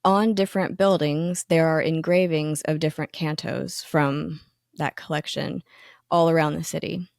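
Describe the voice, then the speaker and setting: A woman's voice: low voice, fairly even intonation, moderate pace, gravelly, a little mellow, no room sound, studio quality. A woman co-hosting a podcast in a casual, enthusiastic chat, with frequent ums and restarts. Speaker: magazine editor, co-host.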